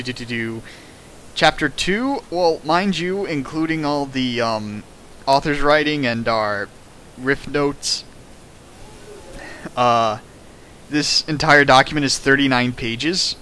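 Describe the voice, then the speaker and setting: A man's voice: mid-range voice, slow, long pauses, sharp tone, a little hiss or hum. A young man doing a comedic dramatic reading of a fan fiction, jokey and sarcastic, laughing between lines. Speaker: reader and riffer of a fan fiction.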